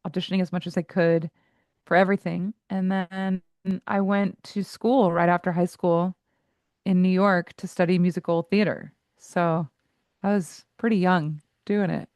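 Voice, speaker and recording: A woman in her forties, a voice coach and guest in an interview, who talks candidly in quick asides and laughs easily. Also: lowish voice, fairly even intonation, darkish tone, no echo.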